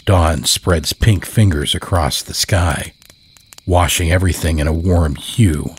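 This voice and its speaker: A man narrating scripted horror fiction in a measured, deliberate way. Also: sits low, husky. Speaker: storyteller, narrator.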